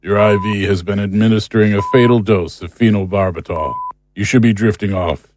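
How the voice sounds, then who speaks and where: A man's voice: lowish voice, steady tone, fairly quick, close to an everyday voice. An actor playing a male dramatic role in an audio drama. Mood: cruel, mocking. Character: uneasy man, turning cruel fake doctor in dreams. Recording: clear background noise.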